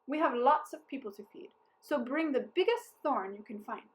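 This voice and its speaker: A woman's voice: high-pitched, expressive, moderate pace, pitched above the performer's own voice. A woman voicing a confident, wily male army captain in a storybook, speaking with courteous, persuasive emphasis.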